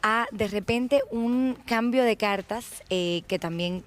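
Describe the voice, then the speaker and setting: A woman's voice: on the high side, slow pace. Dominican-accented woman explaining politics. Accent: Dominican Caribbean Spanish with Argentine tinge. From Dominican Republic. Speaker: interview guest, political candidate. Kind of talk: studio political interview.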